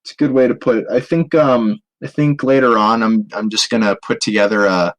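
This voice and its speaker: A man's voice: lowish voice, steady tone, a little fast, rough recording. A man chatting casually and jokingly as a podcast co-host. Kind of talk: casual comedic chat.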